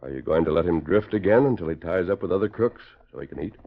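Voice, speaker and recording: An actor playing a calm, fair-minded masked Western hero with an American accent, speaking with terse authority in 1940s radio style. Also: male voice, deep voice, fast, creaky, a little hiss or hum. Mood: calm, assured. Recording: muffled 1940s radio recording.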